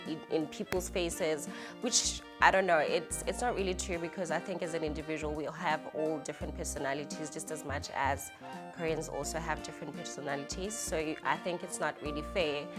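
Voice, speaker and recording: A South African woman with a South African accent, speaking candidly as an interviewee. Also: low voice. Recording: music behind the voice.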